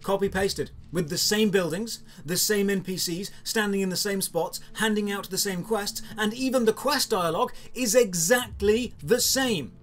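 A man's voice: sits high, moderate pace, hardly any pauses, fairly bright tone. A British man in his thirties narrating a scripted game review in a sardonic, critical manner. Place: small room lined with game shelves.

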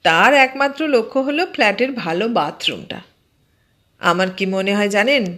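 A woman's voice: mid-range voice, lively intonation, normal speed, a bit bright. A woman narrating an audio story, acting out its dialogue. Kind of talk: dramatised storytelling, reading dialogue.

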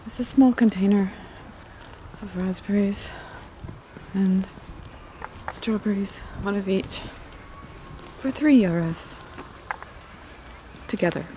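A middle-aged woman talks in a mellow, slow, meandering way, as if strolling along with a friend. She is recorded outdoors on a handheld camera, with light ambient noise and traffic around her. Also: medium pitch. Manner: gentle, unhurried, meandering. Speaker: ASMR video creator. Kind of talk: casual ASMR roleplay chat while walking. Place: outdoors on paths through woods and streets. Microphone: handheld camera at arm's length.

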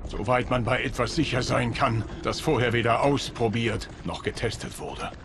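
An actor playing a solemn, loyal guardian of time in video game dialogue, formal, deliberate and grave. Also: male voice, mid-range voice, fairly even intonation, noisy background, close to an everyday voice. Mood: solemn, measured. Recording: music behind the voice.

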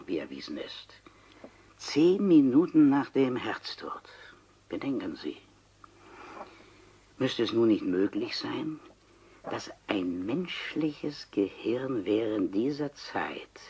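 An actor playing an obsessed, coolly persuasive seventy-year-old scientist in a radio crime play, deliberate and insistent, with dramatic pauses. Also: male voice, medium pitch, slightly rough, muffled recording, full character voice. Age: older.

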